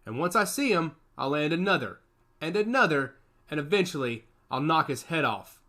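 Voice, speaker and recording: A man's voice: fairly high pitch, expressive, moderate pace, bright, forceful tone. A man voicing a brash, cocky augmented fighter who talks with swagger and defiance. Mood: confident. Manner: confident, defiant.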